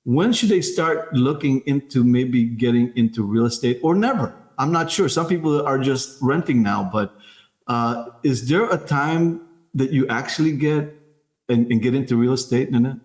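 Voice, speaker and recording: A man's voice: mid-range voice, expressive, moderate pace, a little mellow, echoey room. A man from the Philippines hosting a podcast, asking questions in a chatty way with many fillers. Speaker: podcast host. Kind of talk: casual interview chat.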